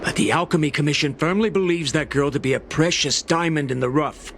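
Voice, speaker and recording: An actor playing a proud, protective dragon-folk alchemist in a video game, speaking formally with grave insistence. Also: male voice, a little high, brisk, a bit bright, a little hiss or hum. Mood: stern, earnest. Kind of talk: video game cutscene acting. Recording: music behind the voice.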